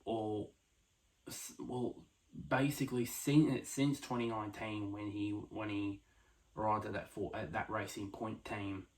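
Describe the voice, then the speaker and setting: A man giving casual solo motorsport predictions, speaking quietly late at night, with many fillers and restarts. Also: fairly low pitch, steady tone, unhurried, poor audio. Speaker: F1 prediction vlogger.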